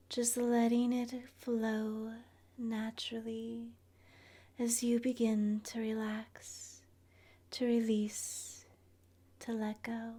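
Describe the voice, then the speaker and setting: Slow, breathy, whispering woman. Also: fairly high pitch. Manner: soothing, gentle, hushed, with long pauses. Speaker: guided meditation host. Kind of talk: ASMR guided meditation roleplay, soft whispery narration.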